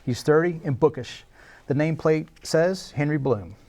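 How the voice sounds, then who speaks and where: A man's voice: on the high side, animated, normal speed, darkish tone. A man narrating screenplay action and scene headings at a table read, in a scripted, dramatic style.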